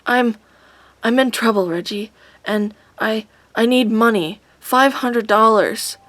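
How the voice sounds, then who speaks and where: An actress playing a sharp-tongued, imperious, scornful teenage girl in an audio drama, with a snappy, cutting delivery. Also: a little high, takes it slowly, no echo. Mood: stressed.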